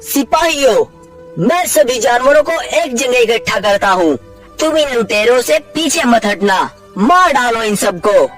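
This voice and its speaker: High, declamatory cartoon jungle beast, played by a man. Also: lively intonation, a bit bright, heavily acted. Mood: commanding. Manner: declamatory, deliberate delivery. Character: earnest talking big cats and animals of the jungle. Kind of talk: children's cartoon voice acting. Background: background music.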